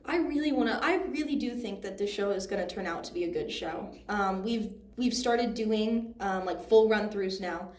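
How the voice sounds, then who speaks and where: A woman's voice: mid-range voice, normal speed, few pauses, darkish tone. A teenage girl, a student actor, giving earnest, reflective answers in a casual interview, often hedging as she goes. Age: teens, high school student. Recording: roomy echo, faint background noise.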